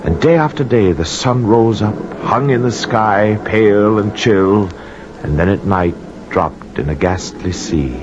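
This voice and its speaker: Haunted old mariner, slow and swooping. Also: male voice, fairly low pitch, lively intonation, background noise. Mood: grave, brooding. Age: older. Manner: intense, poetic, incantatory storytelling. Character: haunted, cursed old mariner urgently compelling strangers to hear. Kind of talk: 1940s radio drama acting. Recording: dull vintage 1940s radio recording.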